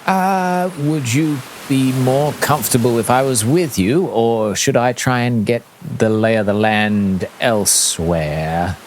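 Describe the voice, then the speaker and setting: Man playing a flustered, eager young bard, pitched up. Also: takes it slowly, few pauses, slight background noise, close to an everyday voice. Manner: rambling, stammering, over-eager.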